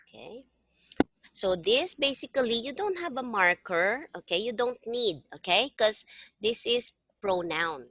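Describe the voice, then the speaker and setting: A woman's voice: fairly high pitch, animated, measured pace, background noise. A middle-aged woman with a Filipino accent, tutoring a student one-on-one over a video call and patiently mixing English and Tagalog. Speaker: language tutor. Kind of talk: one-on-one online lesson.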